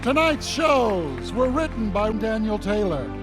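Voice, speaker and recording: A man's voice: high voice, sing-song, measured pace. A man working as an announcer, reading in a scripted, formal announcement style. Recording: live stage recording, music behind.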